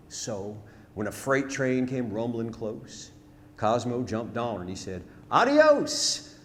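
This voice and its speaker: A man performing a rhymed narrative ballad as a storyteller, with dramatic, folksy, emphatic delivery in a theatre. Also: medium pitch. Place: on stage in a theatre. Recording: hall reverb, noisy live theatre recording.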